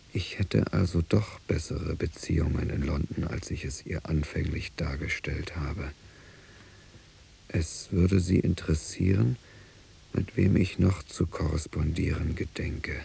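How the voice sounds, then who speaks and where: A wary musician husband reporting on his wife in a radio play. His low, heavily creaky voice is very husky, and he speaks in measured, stiffly formal phrases. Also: mellow, full character voice. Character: guarded, suspicious husband, a musician, writing informant reports. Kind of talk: radio drama monologue, dictated report.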